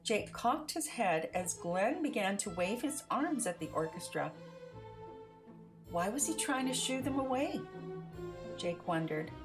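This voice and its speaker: A woman narrating a children's story aloud in an expressive, theatrical storytelling manner. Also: mid-range voice.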